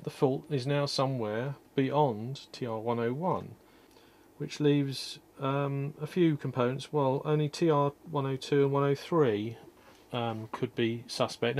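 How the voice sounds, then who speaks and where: A man calmly explaining an electronics repair at his workshop bench, step by step, with frequent ums and uhs. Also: mid-range voice, vocal fry, a little hiss or hum. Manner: calm, methodical, many ums and uhs. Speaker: electronics restorer. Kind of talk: technical repair walkthrough.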